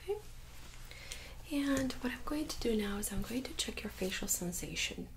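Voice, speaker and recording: Woman, 30s, hushed ASMR medical examiner, gently instructing, with a gravelly fry. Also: fairly high pitch, expressive, moderate pace, background noise. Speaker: ASMR performer playing a nurse examiner. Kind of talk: soft-spoken ASMR medical role-play. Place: small indoor room set up as exam room.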